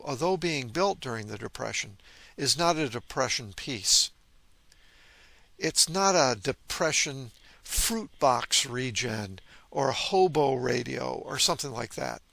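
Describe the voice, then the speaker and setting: A man giving an informal technical explanation in a measured way, leaning on key words as he presents. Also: mid-range voice, gravelly, bright, forceful tone, no room sound. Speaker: presenter of a vintage ham radio channel.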